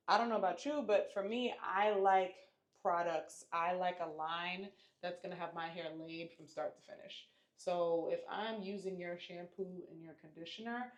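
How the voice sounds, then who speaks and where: A woman vlogging at home as a hair reviewer, chatty and upbeat, repeating words for emphasis. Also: lowish voice. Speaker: natural hair YouTuber. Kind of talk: casual product review vlog. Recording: echoey room.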